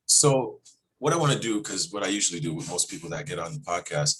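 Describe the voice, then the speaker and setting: Low, husky-voiced male podcast host. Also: animated, fast talker, fairly bright tone, rough recording. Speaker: podcast host interviewing a guest. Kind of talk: casual conversational interview.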